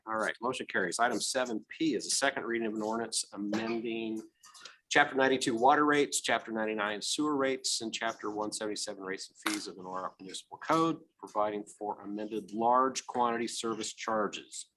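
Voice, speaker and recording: A man with a Midwestern American accent chairing a city council meeting, moving briskly through agenda items. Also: fairly low pitch, sharp tone, a little hiss or hum, low-quality recording. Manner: brisk, procedural.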